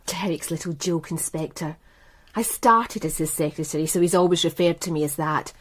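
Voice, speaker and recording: A woman's voice: sits low, steady tone, normal speed. An actress playing a proud, composed businesswoman in a radio crime drama, speaking in a poised, precise, guarded way. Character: composed, proud businesswoman running a bookmaking firm.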